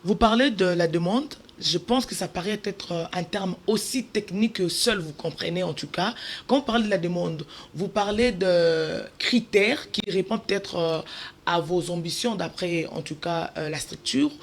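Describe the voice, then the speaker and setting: A woman's voice: on the low side, normal speed. A woman with a Congolese French accent hosting a panel interview as television presenter in a studio. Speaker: television host.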